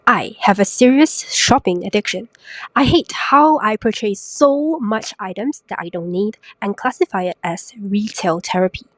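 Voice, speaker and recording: A young woman hosting a solo podcast while sick, her voice raspy and congested, chatty and self-mocking with lots of rhetorical questions. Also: mid-range voice, dry recording. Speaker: podcast host. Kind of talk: casual solo podcast talk. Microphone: new podcast microphone.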